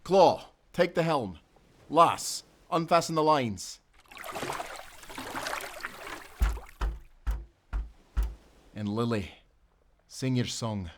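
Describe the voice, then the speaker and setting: An actor playing a loyal, good-natured sailor in a nautical audio adventure, speaking earnestly with friendly seafaring phrasing. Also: male voice, medium pitch, very animated, slow, background noise. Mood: solemn resolve. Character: young-hearted, loyal, good-natured sailor and former timberman. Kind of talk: cinematic nautical audio roleplay acting.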